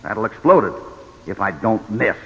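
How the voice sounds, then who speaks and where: A low-pitched American cowboy hero from a golden-age radio western. He is commanding, brave and deliberate in his delivery. The sound is a dull old recording with long reverb. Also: lively intonation, vocal fry. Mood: grave, calculating. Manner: firm, deliberate, authoritative. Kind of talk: 1940s-50s radio western acting.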